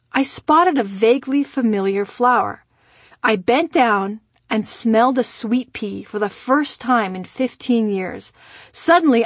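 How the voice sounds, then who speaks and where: A woman from Iran, a native Persian speaker, reading her own memoir aloud in a measured, wry storytelling manner. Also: on the high side, dry recording, clean studio sound. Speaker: memoir narrator.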